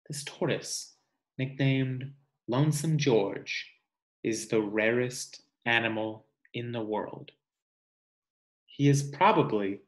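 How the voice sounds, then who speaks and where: A man in his thirties reading a children's nonfiction picture book aloud in a deliberate way, pausing for musing asides. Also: medium pitch. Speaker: read-aloud reader. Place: small indoor room at home.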